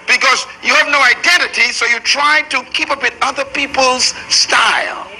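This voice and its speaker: A man's voice: very high-pitched, fairly even intonation, moderate pace, background noise. A man preaching a sermon to a room full of people, emphatic and rhythmic. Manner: emphatic, preaching to a live crowd. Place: large room with an audience.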